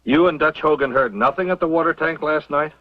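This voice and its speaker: An actor playing a shrewd, skeptical professor in an old western radio drama, measured and inquisitive. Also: male voice, on the high side, dry recording, close to an everyday voice. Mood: questioning. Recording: muffled old radio recording.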